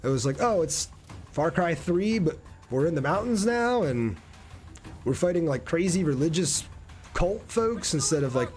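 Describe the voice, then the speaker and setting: A man's voice: on the high side, animated, normal speed, darkish tone, faint music behind. A man doing casual live gameplay commentary and chatting with viewers in a laid-back, slangy way. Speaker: game streamer.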